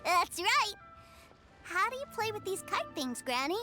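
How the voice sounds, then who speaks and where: A woman playing an innocent, excitable little boy with a childlike voice in a video game. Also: extremely high pitch, measured pace, music in the background, exaggerated voice. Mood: amazed. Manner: excitable, wide-eyed. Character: eager, innocent little boy adoring his big brother.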